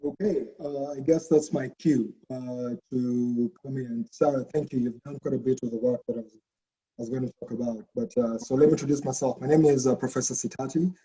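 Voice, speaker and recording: A man's voice: mid-range voice, fairly even intonation, fairly quick, dark tone, poor audio. A man from Kenya, a professor talking casually in an online info session, with restarts and a habit of asking "okay?". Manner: chatty, frequent "okay?" and restarts.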